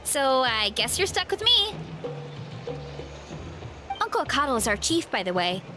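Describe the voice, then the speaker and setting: A young farm girl from a video game, sweet and easygoing. Her high voice moves in lively swoops as she chats good-naturedly like a friendly guide, with music underneath. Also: a little slow. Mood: cheerful. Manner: chatty, good-natured, informal. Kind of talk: video game character acting. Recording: music playing behind.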